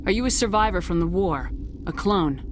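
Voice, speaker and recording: A woman's voice: on the low side, expressive, moderate pace, slight background noise, lightly acted. A woman playing a stern military commander in a video game, blunt and controlled. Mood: questioning. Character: female military commander, curt, stern, questioning. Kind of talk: video game character acting.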